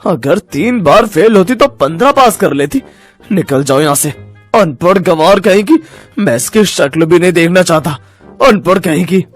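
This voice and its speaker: Guy doing a proud hot-headed young husband in a cartoon story, animated voice, bit of music under. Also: a little high, moderate pace. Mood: furious, scornful. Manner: emphatic, scornful when crossed.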